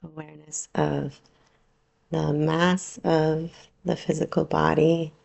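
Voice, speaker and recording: Female meditation guide, heavy vocal fry, very even intonation, soothing delivery with long pauses. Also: low-pitched. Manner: soothing, unhurried, gently instructing with long pauses. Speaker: yoga nidra teacher.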